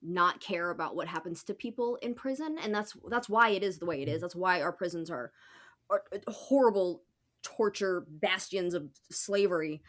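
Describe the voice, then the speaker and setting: A woman's voice: on the low side, normal speed, fairly bright tone. A woman talking casually as a guest on a political podcast, blunt and colloquial, often saying "like".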